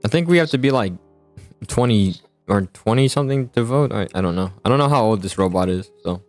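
Young male gamer for casual school roleplay, animated and slangy, music under the voice. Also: on the low side, fairly quick, dry recording. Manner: slangy, excitable, stammering repeats. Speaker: roleplay video host playing a student. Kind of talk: casual improvised game roleplay.

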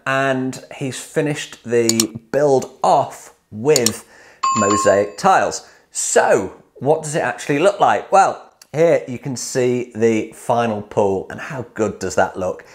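A man in his forties with a British accent talks straight into a close mic in a clean studio. He has a chatty, upbeat, slightly salesy way of explaining things, as if he is walking a viewer through a build. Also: mid-range voice. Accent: British English. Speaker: DIY hot tub course creator and channel host. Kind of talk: direct-to-camera explainer.

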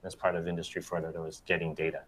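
Deep-voiced middle-aged man explaining. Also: rapid-fire, husky. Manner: steady explaining, tags right. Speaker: factory expert panelist. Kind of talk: panel discussion. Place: bright open factory studio set.

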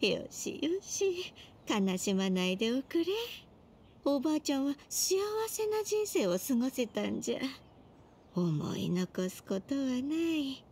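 A doting, humble old grandmother for a video game. Her folksy, soothing speech is slow and very expressive in pitch, with faint noise behind it. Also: exaggerated voice. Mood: tender, consoling. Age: older. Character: tender, doting elderly grandmother, gentle and humble. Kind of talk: Japanese video game voice acting.

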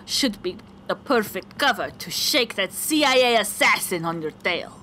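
A woman voicing a stern Russian spy handler with a put-on Russian accent, in a slow, clipped, exaggerated movie-villain delivery. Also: mid-range voice, expressive, sharp tone, a little hiss or hum. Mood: conspiratorial.

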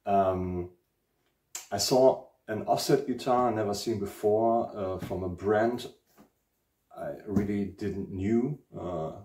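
German man, slow halting English, roomy echo. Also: sits low, a little mellow, recorded from a distance. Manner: halting, many ums and uhs, searching for words. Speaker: guitar channel host. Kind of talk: casual unboxing vlog. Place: living room at home, indoors. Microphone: camera mic at a distance. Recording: echoey room, speaker away from mic.

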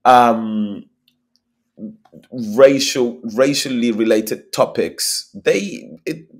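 A man's voice: mid-range voice, extremely slow. A young man from Spain, a company founder, talking at length in a video call interview and often repeating words as he thinks. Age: young adult. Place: small room at home, indoors. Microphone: laptop webcam mic on a video call.

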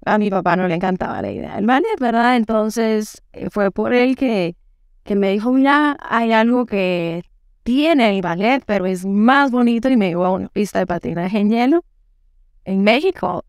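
A woman in her thirties from Guatemala, calmly telling her story as an interview guest. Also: medium pitch, normal speed, clear, resonant tone, no room sound, studio quality. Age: 30s. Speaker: guest, figure skating coach. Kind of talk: interview answers. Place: studio set. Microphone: lapel mic.

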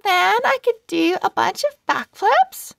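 Lady doing a snooty girl cat from a kids book, teasing, show-offy, pitch goes all over, creaky bits. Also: big pitch swings, takes it slowly, a bit bright, no room sound, clean studio sound, exaggerated voice. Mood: showy, haughty. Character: sassy, proud female cat show-off in a children's storybook.

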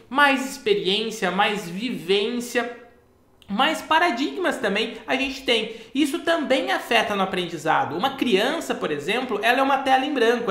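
A man's voice: very high-pitched, vocal fry. A man in his thirties, a memory-course teacher, explaining a topic directly to camera in a small office. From Brazil. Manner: animated, gestures a lot while explaining. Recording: echoey room.

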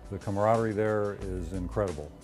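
A middle-aged man reminisces in a relaxed interview, pausing often with an "uh" as he gathers his thoughts. His voice sits low and easy. Clear background music plays under him. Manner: relaxed, reflective, with frequent "uh" pauses. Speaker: interviewee, retired army colonel. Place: outdoors in dry hills and in a home office.

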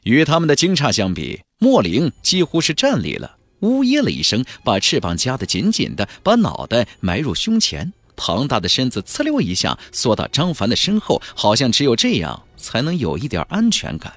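A male audiobook narrator performs a fantasy novel in a husky, mid-pitched voice. His delivery is theatrical and emphatic, with very wide melodic swings. The sound is dry, with music playing behind him. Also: huge pitch swings, normal speed, few pauses, a bit bright, no room sound.

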